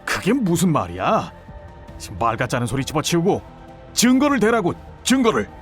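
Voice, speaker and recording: A man's voice: mid-range voice, normal speed, faint music behind, natural voice. A male actor playing a guilty middle-aged baseball umpire in an audio drama, talking down to others with defensive, menacing indignation. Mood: angry, cornered. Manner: condescending, feigned calm breaking into indignant outbursts.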